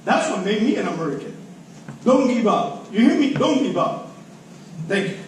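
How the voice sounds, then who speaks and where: A man's voice: high-pitched, sing-song, moderate pace. A middle-aged man from Cuba with a Cuban accent, a native Spanish speaker, giving an emotional, emphatic, informal public speech. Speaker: public commenter at a school board meeting. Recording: very echoey room, distant mic, faint noise.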